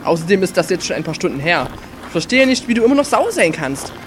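A man's voice: high voice, sing-song, fast talker, fairly bright tone. A young man performing scripted comic audio drama dialogue in a teasing manner. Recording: music bed behind the voice.

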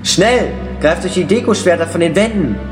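Young man, slightly high voice, animated, scripted adventure audio drama, music behind. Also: normal speed. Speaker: performer playing himself in audio drama.

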